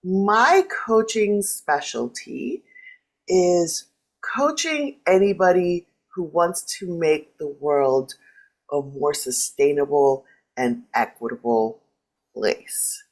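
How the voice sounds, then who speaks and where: A woman whose first language is Spanish, chatting casually and playfully as a leadership coach. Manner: lively, playful, quick jumps in pitch. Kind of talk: casual chat.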